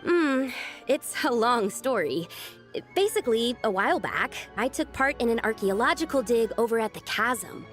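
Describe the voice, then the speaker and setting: A woman's voice: on the high side, animated, normal speed, a bit bright, faint music behind. A woman voicing an earnest, polite young scholar in a video game, sincere and a little timid. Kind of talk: English video game voice acting.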